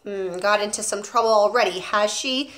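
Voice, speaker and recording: A rude, ratty little man, snappish and dismissive, barking short curt replies while a woman reads his lines in a storybook. His voice stays loud and blunt throughout. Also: medium pitch, moderate pace, natural voice.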